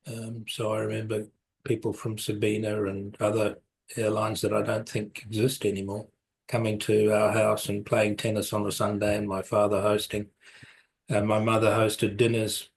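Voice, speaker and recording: A man's voice: lowish voice, completely flat delivery, normal speed, low-quality recording. A man, telling his life story as an interview guest, rambling and correcting himself with light chuckles. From South Africa, raised in New Zealand. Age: 70s.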